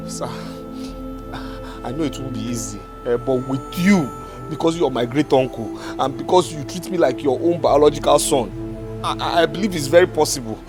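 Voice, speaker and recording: A man's voice: medium pitch, moderate pace, music in the background, close to an everyday voice. A young man playing a remorseful husband and father who pleads over and over for his family's forgiveness. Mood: pleading. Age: young adult. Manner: pleading, repeating himself. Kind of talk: film drama acting.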